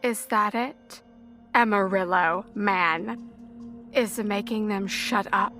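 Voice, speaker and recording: A woman's voice: mid-range voice, slow pace, fairly bright tone, soft background music. An actress playing an ancient serpent monster posing as a seductive woman, mocking her prey in a sing-song, mock-sweet way for an audio drama. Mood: teasing, gloating.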